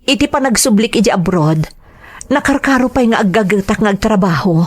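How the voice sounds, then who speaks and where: A woman plays a devoted mother in an audio drama. Her voice sits at a middle pitch, and she speaks earnestly, as one who has sacrificed much for family. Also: expressive, fairly quick, close to an everyday voice. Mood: loving, earnest. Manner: earnest, heartfelt. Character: devoted, self-sacrificing mother recalling hardship abroad. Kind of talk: dramatized first-person narration.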